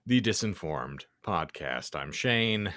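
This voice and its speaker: A man's voice: deep voice, big pitch swings, slow pace, fairly bright tone, no room sound. A man hosting a podcast, bantering in florid, wordy phrasing with a sardonic edge. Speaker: podcast host.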